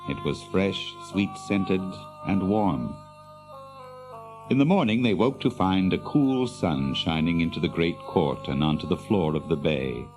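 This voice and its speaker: Casting: male narrator for fantasy audio drama, low register, music underneath. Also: animated, a little slow, darkish tone. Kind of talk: scripted dramatic storytelling narration. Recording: musical score behind narration.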